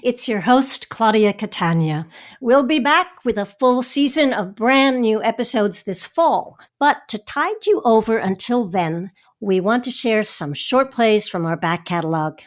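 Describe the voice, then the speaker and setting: A woman's voice: a little high, lively intonation, a little slow. A woman hosting a podcast, reading scripted announcements and introductions. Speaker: podcast host. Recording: music behind the voice. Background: music.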